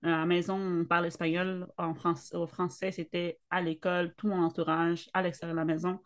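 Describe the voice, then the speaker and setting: A woman's voice: on the low side, brisk. A young woman co-hosting a casual conversation, speaking candidly and personally. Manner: candid, personal, animated when quoting others. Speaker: podcast co-host.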